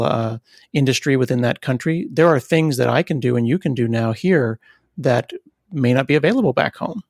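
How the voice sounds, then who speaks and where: A middle-aged man hosting a podcast interview, talking in a relaxed, thoughtful way with occasional restarts. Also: medium pitch, gravelly, dry recording, studio quality. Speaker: podcast host. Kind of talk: conversational interview.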